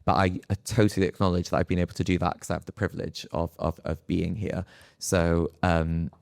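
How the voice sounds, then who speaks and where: A man, a scientist on a live panel, giving reflective advice in a halting, thinking-aloud way. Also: deep voice, flat delivery, creaky, darkish tone.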